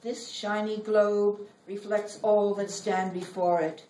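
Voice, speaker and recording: A middle-aged woman giving a live poetry reading in measured, deliberate phrases with dramatic pauses. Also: mid-range voice, flat delivery, dark tone. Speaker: poet reading own work. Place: library reading room. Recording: roomy, noisy recording, distant from mic.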